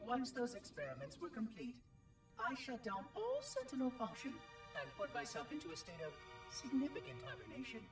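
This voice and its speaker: A fussy artificial caretaker from a video game, high-pitched and wildly sing-song. The diction is formal, verbose and precise, like an eccentric machine reciting its logs, with music playing behind. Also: female voice, sing-song, fairly quick, roomy sound, distant mic, poor audio. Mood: dutifully formal. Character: eccentric robotic installation monitor, fussy, bored, verbose. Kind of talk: scripted game cutscene monologue.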